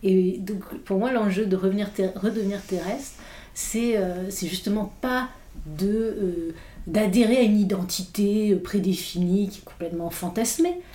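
Woman, english native, slow thoughtful talk w lots of euhs, warm dark tone, echoey room. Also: mid-range voice. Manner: thoughtful, many hesitations and restarts. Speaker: philosopher guest. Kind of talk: reflective interview talk. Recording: roomy echo.

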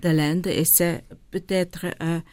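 A woman with a Flemish accent, a native Dutch speaker, talking in a radio interview, hesitant and searching for her words with many pauses and ums. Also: on the low side, level tone, darkish tone, no echo. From India, raised in Flanders. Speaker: guest, charity director.

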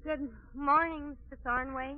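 Actress as naive small-town young woman, high voice, earnest and polite, muffled vintage radio play. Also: fairly even intonation, moderate pace, natural voice. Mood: sheepish. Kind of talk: 1940s radio acting. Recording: muffled 1940s radio broadcast.

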